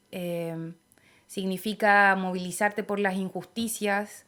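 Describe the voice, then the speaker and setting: A young woman with a Chilean accent speaks as an interview guest. She is thoughtful and measured, often hesitating with soft fillers while she gathers her ideas. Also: medium pitch. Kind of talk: reflective conversational interview.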